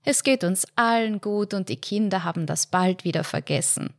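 A woman's voice: medium pitch, normal speed, no echo, clean studio sound, lightly acted. Woman voicing a fussing, loving old mother. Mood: fussing concern. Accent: Austrian. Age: older. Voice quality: soothing, loving sternness. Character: older mother, tender but firm, fussing.

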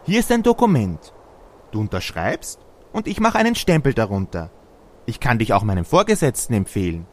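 A man's voice: mid-range voice, sing-song, moderate pace. A man voicing a friendly, naive snowman in a fairy-tale audio play, polite and guileless in manner. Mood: helpful. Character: friendly, naive, good-natured male snowman.

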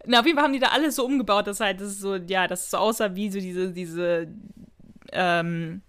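A woman's voice: medium pitch, a little fast, fairly bright tone, smooth. A woman co-hosting a podcast, talking informally and a little giggly in casual conversation. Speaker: podcast co-host. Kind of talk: casual chat, telling an anecdote.

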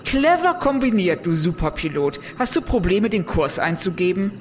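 A woman's voice: on the low side, very animated, moderate pace, soft background music. A woman playing a foul-mouthed, sarcastic space outlaw in a science fiction audio drama, curt and mocking.

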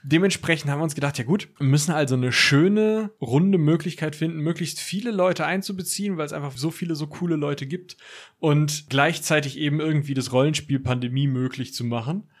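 Guy on a podcast explaining stuff casually, voice a bit on the high side. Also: a little fast. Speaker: podcast guest, role-play creator. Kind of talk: casual explanatory interview talk.

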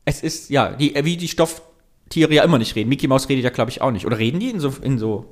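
A man's voice: medium pitch, lively intonation, quick pace. Chatty man with a Thuringian accent. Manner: chatty, laughs while talking, listing things off. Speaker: podcast co-host. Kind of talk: casual chat.

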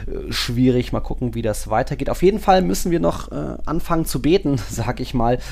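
A man's voice: mid-range voice, animated, fairly quick, vocal fry, a little mellow. A man co-hosting a football podcast, speaking informally and thinking aloud as he goes. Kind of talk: casual football podcast chat.

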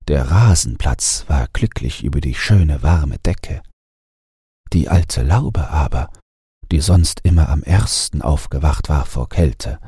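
A man narrating a bedtime fairy tale as an audiobook, in an expressive storytelling manner. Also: a bass voice, slightly rough, no room sound, studio quality.

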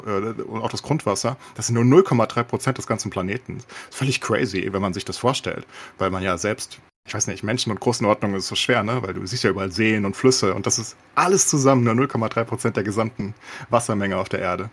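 A man with a lowish, rather husky voice chats casually as a podcast host. He speaks quickly and colloquially, leaning on sardonic understatement.

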